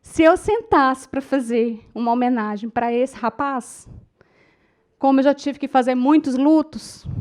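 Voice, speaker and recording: Brief: Brazilian woman, middle-aged, high voice, public speaking teacher, emotive storyteller who talks straight to the room. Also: a little mellow. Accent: Brazilian Portuguese. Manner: emotive storytelling, addresses the audience as "gente". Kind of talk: lecture to a class.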